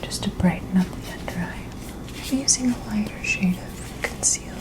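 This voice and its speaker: A woman speaking in a breathy whisper, gently and politely explaining each step as a makeup artist in an ASMR role-play. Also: medium pitch, moderate pace. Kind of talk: ASMR role-play, personal-attention beauty treatment.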